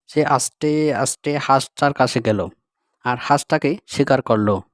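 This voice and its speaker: A man's voice: medium pitch, a little slow, no room sound, studio quality. A man reading scripted narration for a children's animal story.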